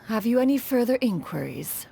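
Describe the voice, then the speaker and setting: A woman's voice: fairly high pitch, creaky, dark tone, exaggerated voice. A woman playing an aloof, dignified immortal crane elder in a video game, speaking in formal, archaic, stately phrases. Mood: solemn, apologetic. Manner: formal, archaic, stately speech, refers to self as "one". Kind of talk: scripted video game story dialogue.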